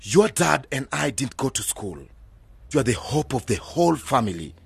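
An actor playing a stern, reproachful older uncle from a village in a radio drama, scolding and insistent. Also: male voice, medium pitch, sing-song, normal speed, gravelly, breathy edge, no room sound, exaggerated voice.

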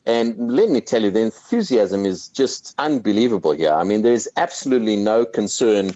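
A man from South Africa with a South African accent, answering interview questions about crypto, animated and often repeating words as he starts a thought. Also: on the low side, moderate pace, highs cut off. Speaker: crypto commentator. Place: Copenhagen.

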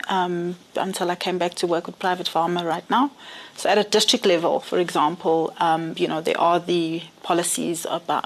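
A woman's voice: on the low side, low-quality recording. A woman with a South African accent recounting personal experience conversationally as a panel guest. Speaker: panel guest, public health professional.

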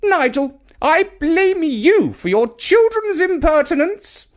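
Man putting on a shrill, bossy old English grandmother. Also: sing-song, slow, full character voice. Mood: indignant. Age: elderly. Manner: indignant, scolding, emphatic. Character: haughty, snappish elderly English grandmother, bossy and imperious. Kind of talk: children's storybook character voice.

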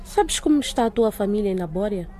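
Woman doing strict school director lady for a radio play, mellow mid voice, firm, formal, measured. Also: medium pitch, animated, close to an everyday voice. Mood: stern. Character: stern, authoritative female school director, firm but fair. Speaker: actor in a radio drama. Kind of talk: radio drama dialogue.

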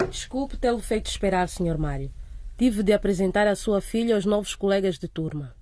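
An actress playing a firm, caring middle-aged headmistress in a radio drama, speaking in an earnest, measured way. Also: female voice, mid-range voice, animated, dark tone, slight background noise, lightly acted. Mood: polite, reassuring.

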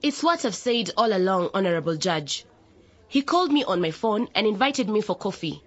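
A woman's voice: mid-range voice, lively intonation, moderate pace, lo-fi sound, close to an everyday voice. An actress playing an earnest, principled young female councillor giving formal testimony in a radio drama. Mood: earnest, firm.

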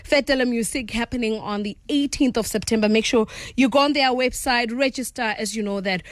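A woman's voice: on the high side, a little fast, no echo, studio quality. A South African woman hosting a casual radio interview in a chatty, encouraging way. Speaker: radio host.

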